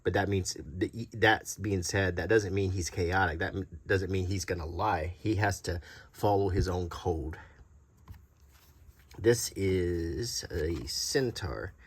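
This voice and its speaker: Husky, low-voiced middle-aged man. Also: dry recording. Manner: halting, rambling, conversational. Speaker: YouTube host showing vintage tabletop game monster cards. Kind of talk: casual unscripted show-and-tell.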